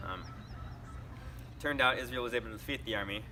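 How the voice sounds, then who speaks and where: A man's voice: medium pitch, a little fast, bright, forceful tone, slight background noise. A man playing a nervous Israeli citizen hesitantly recalling wartime fear in a scripted interview. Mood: anxious recollection.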